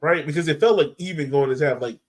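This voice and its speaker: A man with a slightly high voice hosts a casual sports conversation about soccer. He talks informally, as one of a group of podcast panelists. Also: quick pace.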